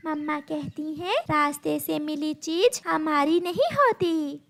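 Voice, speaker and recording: Cartoon bird daughter woman performer: eager small girl, extremely high voice, slow childlike delivery. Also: vocal fry, a bit bright, heavily acted. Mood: earnest. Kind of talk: children's cartoon story voice acting.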